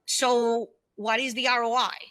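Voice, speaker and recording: A woman in her fifties speaks as a guest in a conversational interview. Her voice sits fairly high and has a clearly bright, forward edge. She makes her points emphatically, often posing questions to herself as she explains her experience. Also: level tone, a little slow, low-quality recording. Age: 50s. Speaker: guest, solopreneur consultant and former aerospace executive. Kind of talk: conversational interview, sharing personal experience and advice.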